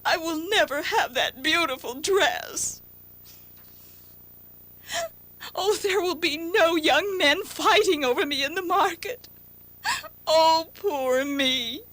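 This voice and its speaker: A woman playing a vain, dreamy young milkmaid in a children's cartoon fable, with a theatrical, drawn-out delivery. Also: very high voice, fairly bright tone, heavily acted. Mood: wailing, tearful.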